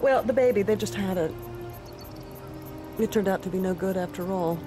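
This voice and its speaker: An actress playing an imperious, manipulative commander's wife in an audio drama, haughty and theatrical. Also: female voice, medium pitch, normal speed, music in the background, close to an everyday voice. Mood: gossipy, gloating.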